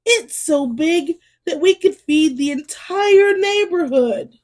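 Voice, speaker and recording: Woman as young storybook turkey boy, high voice, slow, sweet, earnest, wide-eyed. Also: expressive, darkish tone, exaggerated voice. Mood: amazed. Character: young male turkey, curious, sweet and grateful.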